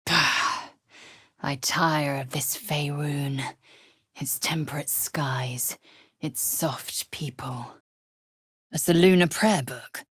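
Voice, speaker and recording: A woman voicing a proud, fierce, imperious githyanki warrior in a video game, with a husky, raspy voice and a clipped, haughty, formal manner. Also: deep voice, extremely slow, pauses often, fairly bright tone, no echo, studio quality, heavily acted. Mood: cold, disdainful. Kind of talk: game voice acting, short scripted lines.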